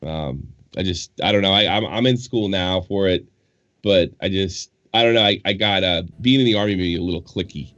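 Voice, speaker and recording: A man in his forties with a Boston accent and a deep, low voice. He rambles through profane stories, stopping and restarting mid-sentence. The audio is dry and a little dull. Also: a little fast, fairly bright tone, dry recording. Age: 40s. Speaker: podcast guest. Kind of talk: casual chat.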